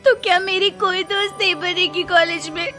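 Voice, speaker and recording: Woman as a very high-pitched, whiny teenage girl. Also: steady tone, moderate pace, music in the background. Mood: tearful pleading. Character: teased, distressed young college girl. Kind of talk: melodramatic cartoon dialogue.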